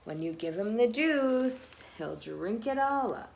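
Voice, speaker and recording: A woman reading a children's picture book aloud expressively, pausing between phrases with dramatic emphasis. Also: fairly high pitch, lively intonation, vocal fry, slight background noise. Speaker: children's read-aloud host.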